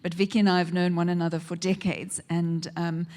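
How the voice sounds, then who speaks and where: A South African woman chairing a conference session, thanking one speaker and introducing the next in a measured way. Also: lowish voice, flat delivery, mellow.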